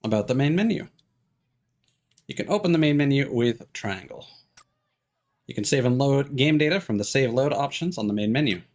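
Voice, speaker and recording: A man's voice: mid-range voice, animated, normal speed, long pauses, a little mellow. A man doing casual, rambling livestream commentary as he plays a game, with wry asides. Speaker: game streamer. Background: game music.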